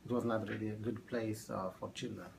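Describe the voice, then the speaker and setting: A man in his thirties from Ethiopia, a math teacher answering oral history questions in a halting way with many fillers. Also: on the low side, steady tone, gravelly, noisy background. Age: 30s.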